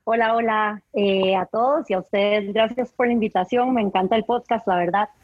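Husky-voiced Costa Rican woman, chatty. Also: medium pitch, fairly even intonation, normal speed, no room sound, narrow, tinny audio. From Costa Rica. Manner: chatty, often tags sentences with a questioning "right?". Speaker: guest, home organizing consultant. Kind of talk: casual interview conversation.

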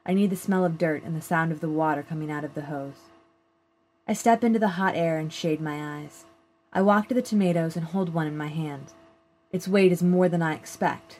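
A woman reading a first-person literary short story aloud in a measured, plain storytelling manner. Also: low voice, a little mellow. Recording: clear background noise.

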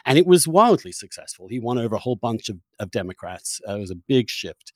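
A man's voice: medium pitch, very animated, fast, darkish tone, no echo, studio quality. A man interviewing in a conversational, thoughtful way, searching for his words and rephrasing as he goes. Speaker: interviewer, podcast host.

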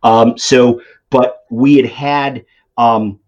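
A man's voice: mid-range voice, fairly even intonation, extremely slow, a little mellow. A middle-aged man with a Boston accent, a finance executive answering interview questions in a conversational way with many ums and restarts. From Boston area. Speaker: CFO guest. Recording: dull lo-fi audio.

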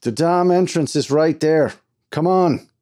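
A male narrator plays a gruff mine foreman from an adventure audiobook. He is blustering and exasperated, pitched up from plain narration and pushed hard in volume, with a short temper and a habit of cursing. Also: normal speed, dark tone, natural voice. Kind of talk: dramatized audiobook character.

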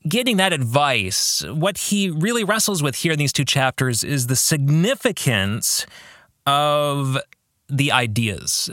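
A man hosting a reflective book podcast, speaking like a pastor in an earnest, emphatic manner with deliberate pauses. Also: fairly high pitch, a bit bright, no echo, clean studio sound. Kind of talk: reflective book discussion with read-out passages.

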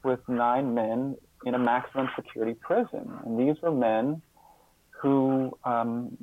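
A man's voice: medium pitch, steady tone, unhurried, a little hiss or hum. A man speaking as a guest expert in an interview, reflective and earnest, often repeating words as he thinks. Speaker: guest author and social scientist. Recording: muffled phone-like narrow-band line.

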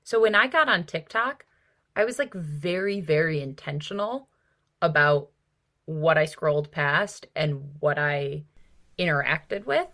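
A woman's voice: deep voice, animated, a little slow, sharp tone. A woman co-hosting a casual book discussion podcast, talking in an informal, emphatic way peppered with 'like'. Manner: informal, emphatic, frequent 'like' and mild swearing. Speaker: podcast co-host.

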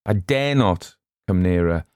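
A man voicing a starving, fearful old castaway with halting, pathetic, formal speech in a storybook reading. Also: deep voice, expressive, darkish tone, smooth, no room sound, studio quality. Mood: fearful, anguished.

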